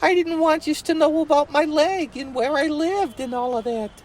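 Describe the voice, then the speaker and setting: A man voicing a shy, poor young woman who sells newspapers, in a high falsetto with street slang. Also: fairly even intonation, normal speed. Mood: gushing.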